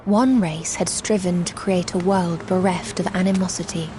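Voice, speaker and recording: An actress playing a mournful, otherworldly being who speaks for many in a video game, in solemn, declamatory, archaic language. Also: female voice, on the low side, steady tone, normal speed, dark tone, a little hiss or hum, natural voice. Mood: grave reporting. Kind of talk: video game cutscene monologue, formal archaic narration.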